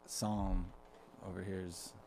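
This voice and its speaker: A radio show host asking relaxed, slangy questions in a casual chat, often laughing along. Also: sits low, slow, a little hiss or hum.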